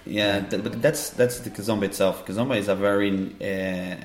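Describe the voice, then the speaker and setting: A man with a Brazilian Portuguese accent, answering interview questions in a halting way as he searches for words. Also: on the low side, steady tone, reverberant. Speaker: dance teacher and festival organizer.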